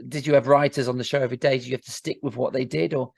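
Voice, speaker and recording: A British man hosting an interview, enthusiastic and quick to laugh as he prompts his guest. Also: medium pitch, steady tone, gravelly, dry recording, studio quality. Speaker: interview host. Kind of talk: casual interview chat.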